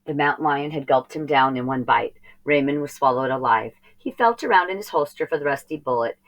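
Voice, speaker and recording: Low-voiced woman reading a storybook. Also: fairly quick, rough recording. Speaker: storybook reader. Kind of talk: expressive children's read-aloud narration.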